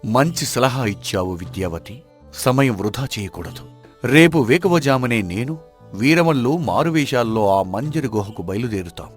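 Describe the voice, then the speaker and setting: A man's voice: mid-range voice, expressive, moderate pace, faint music behind, natural voice. A man acting an earnest, righteous king in a folk tale, speaking formally and respectfully. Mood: resolute. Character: righteous, earnest king devoted to his people.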